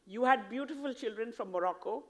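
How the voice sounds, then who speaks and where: A woman's voice: on the high side, brisk, a bit bright. A woman from India with an Indian English accent, giving an earnest, persuasive talk at a conference, explaining her points step by step. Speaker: conference speaker. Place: conference hall.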